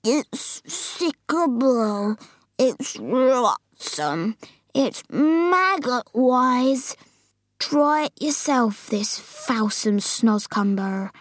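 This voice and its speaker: A woman's voice: fairly high pitch, expressive, a little slow, no room sound. A woman voicing a very old, forlorn but friendly male giant in a storybook, drawing out mangled words with great emphasis. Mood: disgusted.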